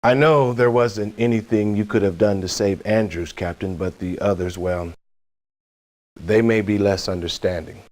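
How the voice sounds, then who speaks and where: A middle-aged male resistance officer, played by an actor in a video game debriefing. His fairly low voice is formal, measured and fatherly. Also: steady tone, a little mellow, close to an everyday voice. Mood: sober.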